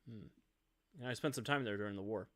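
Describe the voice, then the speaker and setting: A skeptical wizard and former soldier voiced by a man talks in a low, dry tone with curt, pointed questions. The sound is close and dry, with no room behind it. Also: fast, a bit bright, no echo, lightly acted. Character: gruff American wizard, war veteran, irritable. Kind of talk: tabletop roleplay in character.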